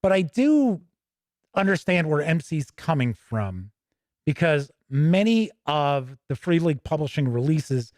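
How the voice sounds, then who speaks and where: A man hosting a casual, unscripted tabletop game review, conversational and opinionated, thinking aloud with pauses mid-sentence. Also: fairly high pitch, very animated, darkish tone, no echo, studio quality. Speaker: host reviewing tabletop games.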